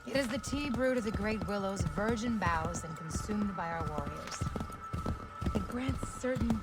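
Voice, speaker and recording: A woman's voice: medium pitch, lively intonation, a little slow, close to an everyday voice. A woman playing a grave, protective Native mother in a video game, speaking earnestly in formal, solemn phrases. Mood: solemn warning. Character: grave, protective Native mother warning her grown son. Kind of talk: dramatic video game acting. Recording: loud music behind the voice.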